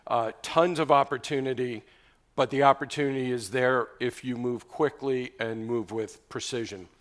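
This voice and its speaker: A man in the moderator's seat at a radio industry conference panel, speaking at an ordinary mid pitch. He runs through the agenda in a brisk, orderly way and hands the floor to each guest in turn. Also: fairly even intonation.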